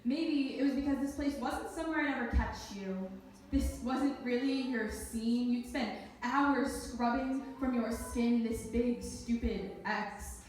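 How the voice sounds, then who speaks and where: A woman's voice: a little high, a little slow, darkish tone. A woman reciting narrative spoken-word poetry at a live slam. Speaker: slam poet. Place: echoey indoor event space, live performance. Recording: very echoey room, distant mic.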